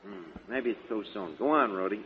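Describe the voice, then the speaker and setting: An actor playing a brash, streetwise schemer in 1940s radio comedy, with mangled grammar and stumbling hesitations, on a dull old recording. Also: male voice, on the low side, a little fast, close to an everyday voice. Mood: bossy, fumbling. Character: brash, malapropism-prone male schemer and wise guy.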